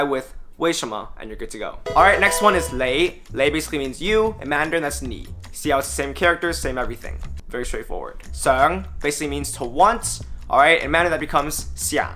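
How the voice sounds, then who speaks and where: Bright-voiced upbeat male language tutor. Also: on the high side. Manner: upbeat, with wry joking asides. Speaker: language teacher and host. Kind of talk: casual explanatory tutorial.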